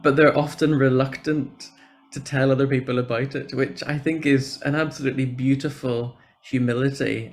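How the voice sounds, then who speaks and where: A Northern Irish man speaks at mid pitch with a noticeable creak in his voice. He presents informally to an online meeting, in an encouraging and appreciative manner. It sounds like a guest speaker talking over a video call. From Northern Ireland. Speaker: church programme officer, guest speaker.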